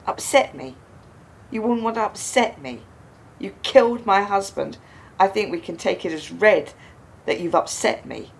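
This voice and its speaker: An actress in a radio play voicing an embittered, grieving widow who speaks with accusing, pointed questions. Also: female voice, medium pitch, expressive, a little slow, vocal fry, slightly rough, exaggerated voice. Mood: cold, accusing. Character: embittered grieving widow confronting her husband's killer.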